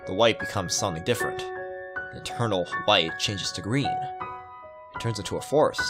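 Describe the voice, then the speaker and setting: A man's voice: on the low side, expressive, moderate pace. A man playing a visual novel, reading it aloud and musing with casual asides. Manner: musing, reacting aloud to the story. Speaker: let's player. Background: game music.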